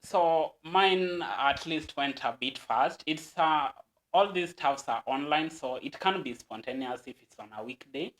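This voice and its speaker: A man's voice: a little high, unhurried, a bit bright, low-quality recording. A Kenyan man, a guest, explaining a process earnestly and at length, with stammered restarts.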